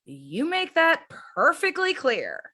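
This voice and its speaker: A woman plays a pissed-off sixteen-year-old girl in a table read. Her voice is high, sharp and very animated, with a sullen, confrontational edge and plenty of swearing. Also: slow, exaggerated voice. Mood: resentful. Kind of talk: amateur script table read.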